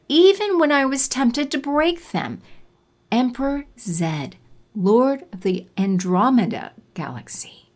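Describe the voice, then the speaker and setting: Haughty, slow-purring villainous sorceress. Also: female voice, medium pitch, darkish tone. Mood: condescending, then menacing. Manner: condescending, theatrical, drawn-out menacing delivery. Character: haughty villainous sorceress in hiding, condescending and menacing. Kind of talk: audio drama acting.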